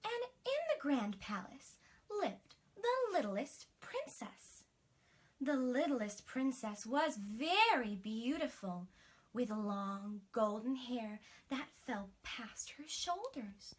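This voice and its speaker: A woman telling a bedtime story to young children as a princess, expressive, with breathy emphasis and chatty asides. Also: high voice, slow.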